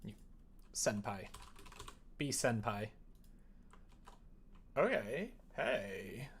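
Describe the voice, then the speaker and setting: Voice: medium pitch, big pitch swings, extremely slow, slight background noise. A streamer giving casual live coding commentary, thinking aloud, muttering to themselves and breaking into playful exclamations. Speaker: streamer making a visual novel.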